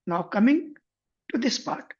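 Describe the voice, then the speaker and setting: A man guides viewers through a software tutorial in a husky, slightly breathy voice. He moves step by step and rephrases as he goes. The recording sounds dull and lo-fi. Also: very high-pitched, animated, measured pace. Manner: methodical, step-by-step, frequent self-corrections. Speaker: software instructor. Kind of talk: screen-share tutorial explanation.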